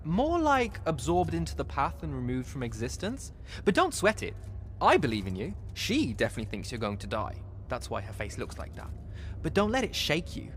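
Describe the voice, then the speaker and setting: Lively, flippant fantasy sidekick. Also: male voice, fairly high pitch, normal speed, a little hiss or hum. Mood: wry, teasing. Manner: wry, glib, playful. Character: flippant, teasing magical companion in a fantasy war. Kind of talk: fantasy video game acting.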